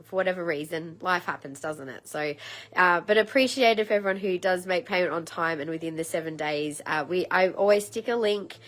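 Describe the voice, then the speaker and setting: Woman, thirties, a bit croaky, chatty live-stream seller, rambling with ums. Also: lowish voice, a little fast, a bit bright. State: slightly croaky, frog in throat. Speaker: host of a live crystal sale. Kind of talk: casual live-stream sales chat.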